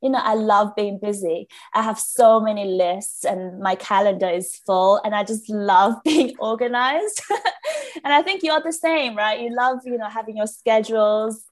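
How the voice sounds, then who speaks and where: A woman in her late twenties from China, a podcast guest chatting in an upbeat way, laughing often and filling pauses with "um". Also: medium pitch. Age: late 20s. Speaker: podcast guest, business founder. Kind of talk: casual interview chat.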